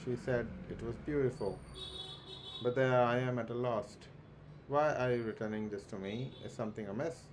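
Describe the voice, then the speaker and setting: Guy streaming a game and reading the dialogue out loud, medium pitch, trips over words, noisy background. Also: steady tone. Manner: halting read-aloud with stumbles and self-corrections. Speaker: game livestreamer.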